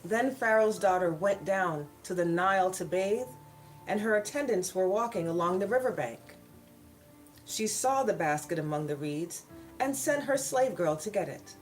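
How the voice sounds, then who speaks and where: A woman's voice: mid-range voice, animated, normal speed, rough recording. A woman storyteller hosting a read-aloud of children's Bible stories, with casual asides to her viewers. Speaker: storyteller and channel host. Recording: background music behind the voice. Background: soft worship music.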